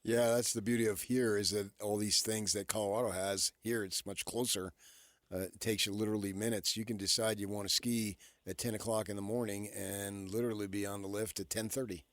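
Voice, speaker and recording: Low-voiced American man, radio co-host. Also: little pitch movement, a little fast, no room sound, clean studio sound. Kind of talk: casual chat. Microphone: close studio microphone.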